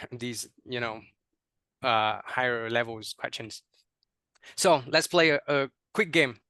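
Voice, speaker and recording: Bright, clear Vietnamese man presenting. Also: mid-range voice, takes it slowly, dry recording. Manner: many fillers and repeated words, checks in with "right?". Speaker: teacher trainer. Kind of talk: online workshop presentation.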